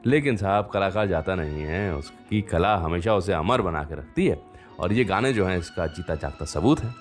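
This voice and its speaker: A man hosting a radio show, telling stories conversationally with unhurried pauses and fillers. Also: low voice, animated, soft background music. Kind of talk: conversational storytelling radio presenting.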